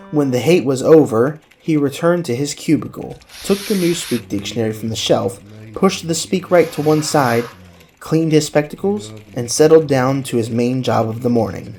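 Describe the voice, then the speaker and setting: A man reading scripted novel narration for a dramatized audiobook, with music playing behind him. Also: mid-range voice. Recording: clear music under the narration.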